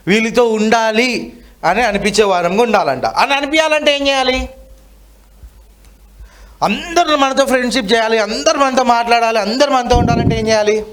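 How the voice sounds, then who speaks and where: A man teaches a children's Bible lesson interactively, asking questions and prompting repetition. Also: high voice. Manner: asks questions and has children repeat.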